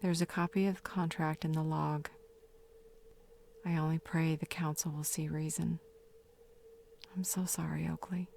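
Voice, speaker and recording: Woman acting as low-voiced, grieving fae mother. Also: level tone, darkish tone, a little hiss or hum. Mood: sorrowful, apologetic. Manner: sorrowful, halting, breathy half-whispers. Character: grieving fae mother leaving a last confession, regretful, tender. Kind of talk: dramatic audio roleplay monologue.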